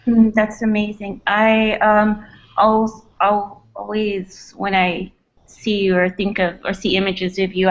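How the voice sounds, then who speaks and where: A woman asking questions as a session participant in a casual, halting and tentative way. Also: mid-range voice. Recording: muffled lo-fi call audio.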